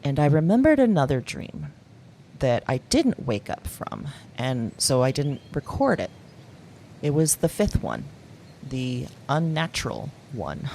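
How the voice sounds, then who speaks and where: A woman playing an uneasy, fascinated dreamer who haltingly confides in an audio diary for an audio drama. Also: very deep voice, sing-song, warm tone, slight background noise, exaggerated voice. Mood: absorbed, wondering. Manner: halting, searching, hesitant with pauses and sighs.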